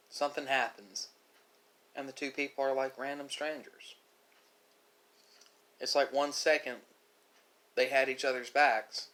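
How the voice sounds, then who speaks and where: A man delivering a reflective monologue, speaking philosophically with dramatic pauses and emphasis. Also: mid-range voice, fairly bright tone. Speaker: video creator.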